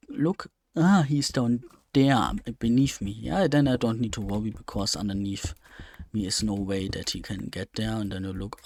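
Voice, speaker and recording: Slow, gravelly young man. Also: on the low side, darkish tone, no echo. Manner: rambling, thinking aloud with many fillers. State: slightly strained voice. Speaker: let's play gamer. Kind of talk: casual gameplay commentary.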